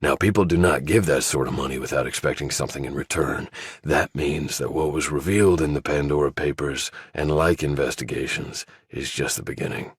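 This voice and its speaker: A man voicing a stern retired general who runs a covert office, speaking in a commanding, deliberate way as audiobook dialogue. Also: a bass voice, fairly even intonation, breathy edge, no echo, clean studio sound, exaggerated voice. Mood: grave, explanatory.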